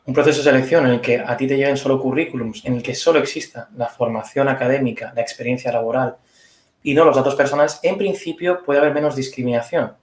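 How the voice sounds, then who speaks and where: Fast-talking man in an echoey room. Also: mid-range voice, steady tone. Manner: thoughtful, hedging, explains with examples. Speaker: conference speaker. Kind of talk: online Q&A answer.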